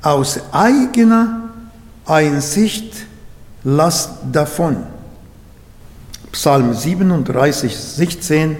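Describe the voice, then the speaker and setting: A man who is not a native German speaker, preaching a sermon in slow, halting German with restarts. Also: a little high, expressive. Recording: echoey hall.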